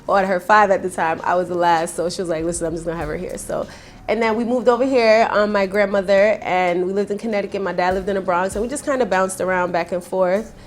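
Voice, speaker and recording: A woman's voice: medium pitch, fast, slight background noise. A young woman rapper from Jamaica, chatting in a casual interview and telling stories with energy, now and then calling people honey. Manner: animated storyteller, calls people honey. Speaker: rapper guest.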